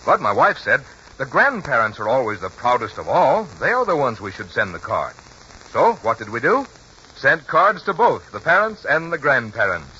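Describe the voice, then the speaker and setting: A man's voice: mid-range voice, sing-song, moderate pace, sharp tone. A man announcing and delivering a scripted sponsor message on a 1940s radio broadcast. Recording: dull, noisy 1940s radio recording.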